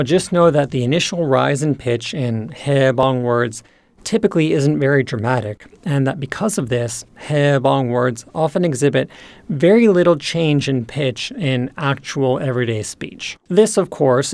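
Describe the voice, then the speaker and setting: A man presenting a scripted language lesson, explaining in a measured way and enunciating key terms. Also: medium pitch, darkish tone, no room sound. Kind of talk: scripted instructional narration.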